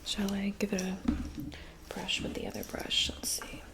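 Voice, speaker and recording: Woman acting a gentle whispering, creaky-edged witch. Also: mid-range voice, completely flat delivery, lightly acted. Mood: soothing, chatty. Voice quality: breathy whisper with creaky edges. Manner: soft, unhurried, chatty, with pauses. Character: gentle, attentive old witch who looks young. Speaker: ASMR performer. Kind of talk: whispered ASMR roleplay.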